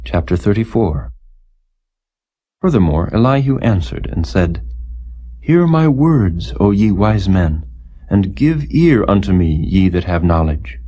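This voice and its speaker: Male scripture narrator: dark, raspy timbre, solemn and declamatory audiobook reading. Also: deep voice, big pitch swings, measured pace. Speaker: audiobook Bible reader.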